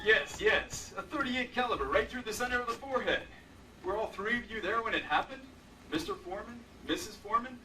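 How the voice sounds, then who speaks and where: An actor playing an officious, brusque young police sergeant who badgers people, in an old-time radio drama recorded live on stage. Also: male voice, very high voice, lively intonation, fairly quick, pushed and bright, faint music behind, heavily acted. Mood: brusque, irritated. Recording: distant live stage recording, faint noise.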